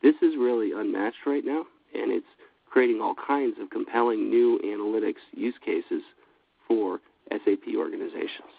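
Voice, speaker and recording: A man with a cold giving a steady, businesslike webinar presentation, with frequent fillers. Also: on the low side, normal speed, vocal fry, no room sound. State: has a cold. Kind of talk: scripted product presentation. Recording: dry, phone-like line.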